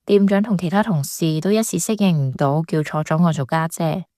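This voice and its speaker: A woman with a Hong Kong Cantonese accent acting a gentle, shy younger sister confiding in a radio drama. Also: sits low, a little fast, dry recording, clean studio sound, natural voice. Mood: light, confessing. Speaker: voice actor.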